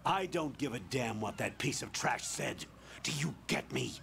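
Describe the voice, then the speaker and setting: Stern commander, curt orders, mid pitch, video game radio call. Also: male voice, animated, moderate pace, gravelly, a bit bright, slight background noise.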